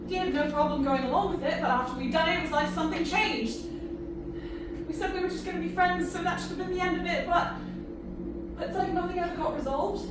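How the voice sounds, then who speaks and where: A woman playing an earnest, disillusioned human pleading in an audio drama, halting and emphatic in her delivery. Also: high voice, lightly acted. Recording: very echoey, distant, noisy ambience.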